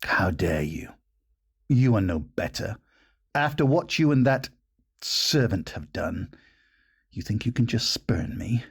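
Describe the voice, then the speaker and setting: A man's voice: lowish voice, expressive, slow, creaky, pauses often, slightly rough, exaggerated voice. A man playing a proud, easily affronted noble bridegroom in an audio drama, with haughty formality. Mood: indignant, scornful.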